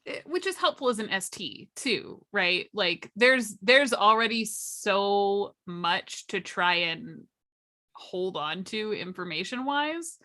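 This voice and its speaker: A woman chatting as a workshop panelist, explaining things casually and thoughtfully with pauses mid-sentence. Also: mid-range voice, lively intonation, bright, forceful tone. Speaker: workshop panelist and game storyteller.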